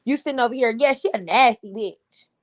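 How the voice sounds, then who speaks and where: Slightly raspy woman on a livestream, kinda high voice, blunt and animated, laughs a lot. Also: a little fast. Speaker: livestream host. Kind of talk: casual commentary chat.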